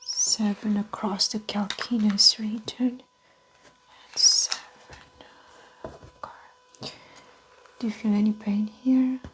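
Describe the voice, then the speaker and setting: Female ASMR medical roleplayer: breathy whisper, unhurried pace, gentle clinical delivery, some noise behind. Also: medium pitch, steady tone. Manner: gentle, methodical, clinical instructions. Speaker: ASMR performer playing a doctor giving a medical exam.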